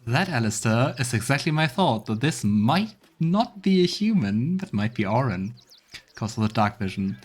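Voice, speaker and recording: A man's voice: medium pitch, big pitch swings, moderate pace, slightly rough. A man giving casual let's play commentary, thinking aloud as he goes. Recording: faint game music behind.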